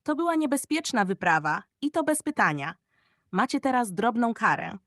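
A woman's voice: fairly high pitch, sing-song, normal speed, fairly bright tone, no echo, voice pushed up. A strict, reproving father from a children's storybook, as a woman storyteller plays him. He scolds firmly, in a dry, close recording. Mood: stern.

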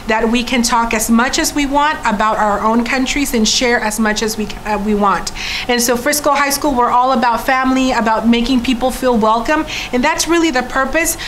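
A woman's voice: fairly high pitch, a bit bright, a little hiss or hum, rough recording. Enthusiastic woman, rambling and upbeat. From Mexico. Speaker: school staff organizer. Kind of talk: casual promo chat. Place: classroom with world map.

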